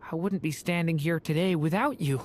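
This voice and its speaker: An actor playing a gentle, youthful dragon boy in an anime video game, speaking softly and earnestly with some wistfulness. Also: mid-range voice, a little fast, slight background noise, lightly acted. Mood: wistful. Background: faint music.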